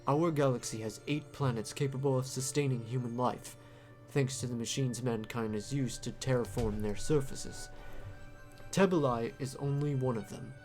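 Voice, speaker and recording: A man voicing a composed, superior high-ranking soldier in a visual novel, formal and measured in his speech. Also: darkish tone, soft background music, natural voice. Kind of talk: visual novel character dub.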